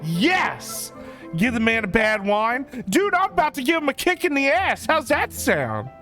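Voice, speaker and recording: Brash, very animated high-voiced woman streamer. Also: a little slow. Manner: brash, sweary, exclamatory, theatrical. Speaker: game streamer. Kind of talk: reactive gameplay commentary. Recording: game music behind.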